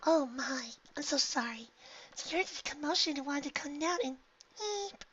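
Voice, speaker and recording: A woman voicing a shy, timid female pony who speaks softly and hesitantly in apology. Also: high-pitched, normal speed, dull audio, voice pushed up. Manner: soft, hesitant.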